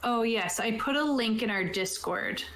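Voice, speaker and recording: A woman's voice: on the high side, moderate pace, a bit bright, a little hiss or hum. Woman game master in an echoey room. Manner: informal, jokey asides. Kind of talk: casual chat explaining a game setup. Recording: roomy, echoey sound.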